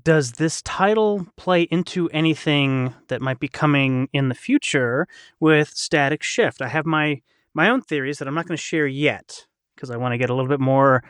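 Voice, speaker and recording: A man's voice: medium pitch, no echo. Male podcast host, casual, enthusiastic, rambling, very dry recording. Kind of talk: casual chat.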